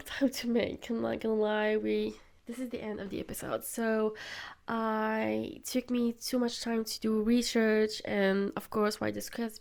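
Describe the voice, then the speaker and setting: A woman's voice: medium pitch, vocal fry. A young woman who is sick, hosting a solo podcast and giving her opinions in an earnest way. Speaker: podcast host.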